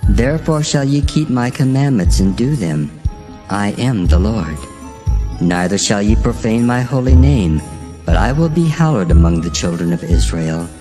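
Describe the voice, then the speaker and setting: A man's voice: on the low side, warm tone. A man voicing God as a solemn, commanding deity giving laws in a dramatized scripture reading. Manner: solemn, declarative. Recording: faint music behind. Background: faint music.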